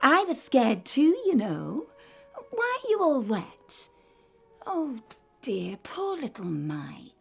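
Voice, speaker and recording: Brisk, motherly woman with slow, lively delivery. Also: sits high, creaky, husky, faint music behind, full character voice. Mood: tender, comforting. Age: middle-aged. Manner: brisk then tender and comforting. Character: brisk, motherly wartime village woman with a grown daughter. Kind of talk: radio play acting.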